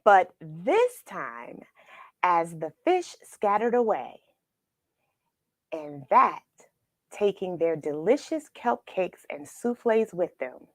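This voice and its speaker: A woman reading a children's story aloud, expressive and dramatic, with pauses for effect. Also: fairly low pitch, no echo, studio quality. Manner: expressive, dramatic pauses, asks the listener questions. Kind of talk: animated read-aloud storytelling.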